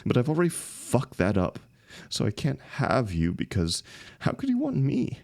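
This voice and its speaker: A man acting a lovelorn young heartbreaker in an intimate, emotional audio roleplay, speaking haltingly and rawly to a longtime friend. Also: medium pitch, huge pitch swings, creaky, a little mellow, no echo. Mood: desperate, heartbroken. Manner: halting, stammering, swearing, emotionally raw.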